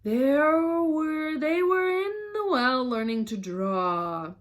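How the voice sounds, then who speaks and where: A woman voicing a sleepy little male dormouse from a storybook, hoarse and feeble, with drowsy, drawn-out speech. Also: high voice, animated, pitched above the performer's own voice.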